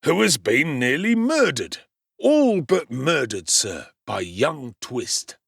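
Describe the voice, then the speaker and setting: A man's voice: medium pitch, sing-song, slow, creaky, a bit bright, slightly rough, no echo, pitched above the performer's own voice, exaggerated voice. A self-important, middle-aged parish beadle who blusters and fusses, acted by a man. His voice sits somewhat raised. The recording is close and dry. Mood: blustering. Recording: dry close studio sound.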